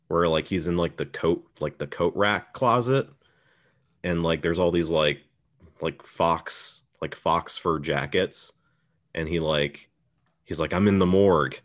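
A man hosting a podcast, talking through films in a casual, conversational way. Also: low-pitched. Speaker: podcast host. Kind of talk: casual film discussion.

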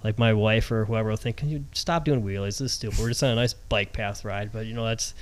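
A man's voice: on the low side, steady tone, fast talker. A man co-hosting a podcast, talking casually and conversationally, with frequent "you know" asides. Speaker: podcast host.

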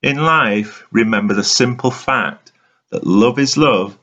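A man giving a dramatic, motivational spoken-word reading in an earnest, emphatic, deliberate way. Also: medium pitch, fairly bright tone. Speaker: reader of a written monologue. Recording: dull, lo-fi recording.

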